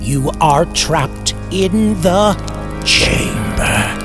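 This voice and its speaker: A man narrating a horror story in old-time radio style, theatrical and menacing, sometimes dropping to a hushed whisper. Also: a little high, big pitch swings, drawn-out words, over music.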